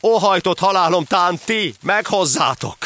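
A man's voice: high-pitched, animated, pushed and bright, faint music behind, voice pushed up. A man voicing a brave young wandering hero in a verse audio drama, earnest and declamatory. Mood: anguished.

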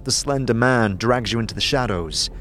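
A man giving measured, atmospheric scripted narration for an audio drama. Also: medium pitch, fairly bright tone.

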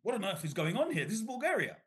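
A middle-aged man from Bangladesh, a guest author in an interview, telling his story in an animated way, often restarting and repeating words. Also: sits high, very fast.

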